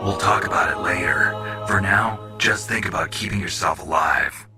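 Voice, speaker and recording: An actor playing a gruff, battle-hardened soldier in a video game, with a gravelly, curt and world-weary delivery. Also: male voice, deep voice, moderate pace, bright, forceful tone. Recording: dramatic music behind the voice.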